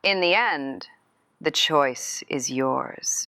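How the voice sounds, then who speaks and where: A woman's voice: low voice, extremely slow, sharp tone, dry recording, exaggerated voice. A woman playing a haughty, manipulative mother in an audio drama, speaking in a sardonic, articulate, coolly menacing way. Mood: coolly threatening. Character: haughty, manipulative mother who produces a show. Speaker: voice actor in a scripted mystery podcast.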